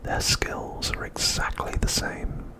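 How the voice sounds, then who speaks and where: A man hosts an ASMR recap entirely in a whisper. His delivery is breathy and unvoiced, set out in deliberate phrases with short pauses between them. It has the feel of quietly read narration. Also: poor audio. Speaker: ASMR host.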